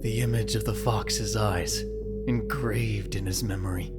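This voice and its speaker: Low-voiced male story narrator over music. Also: fairly even intonation, measured pace. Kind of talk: scripted dramatic story narration. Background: background music.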